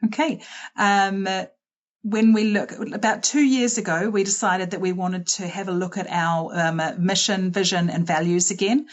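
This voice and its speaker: A middle-aged woman with a New Zealand accent giving an online school presentation, brisk with many fillers. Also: medium pitch, steady tone, highs cut off. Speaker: head of college presenting.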